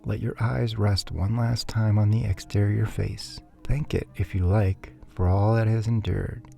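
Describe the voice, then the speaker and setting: A man softly narrating a scripted guided sleep meditation in a soothing, measured, breathy way. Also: lowish voice, steady tone. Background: faint background music.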